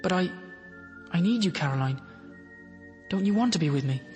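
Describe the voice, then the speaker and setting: A man's voice: high voice, animated, moderate pace, a little mellow, faint music behind. An actor playing an anxious, lovesick young man, easily led, speaking in a hesitant, earnest, pleading way in a radio drama. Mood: pleading, lovestruck. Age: young adult.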